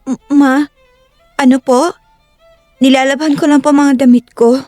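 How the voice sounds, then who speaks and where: A timid, affectionate young wife, voiced by a woman in an audio drama. She is high-pitched and deferential, recorded dry with soft music underneath. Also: little pitch movement, a little slow, dry recording. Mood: nervous, deferential.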